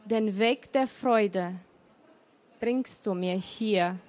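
A middle-aged woman whose first language is Romanian, with a Romanian accent, reading her poems in a deliberate, emotional way. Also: medium pitch, a little mellow. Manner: slow, deliberate, emotional recitation. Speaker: poet and author. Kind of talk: poetry reading.

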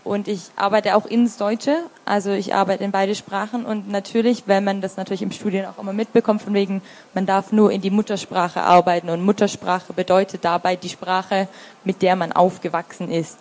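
A woman in her thirties with a Swabian German accent speaks as a translator in an interview. Her pitch sits in an ordinary middle range but barely moves, giving a level, even delivery. She pauses to think, with frequent fillers and restarts. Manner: thoughtful, many fillers and restarts. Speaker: literary translator and review editor. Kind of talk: interview answers.

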